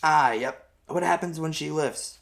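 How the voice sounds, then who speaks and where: A man puts on a kindly old fishing captain for a storybook. He speaks slowly and without hurry, lifting his voice a little as an aged boatman would. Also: fairly high pitch, creaky, fairly bright tone, slight background noise, pitched above the performer's own voice. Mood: calm, concerned. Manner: slow, unhurried speech. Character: elderly kindly fishing captain, slow-talking.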